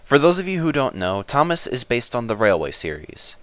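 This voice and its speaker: A man's voice: mid-range voice, sing-song, normal speed, a bit bright. A man narrating in the manner of a children's storybook reader. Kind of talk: storybook narration and spoken video introduction.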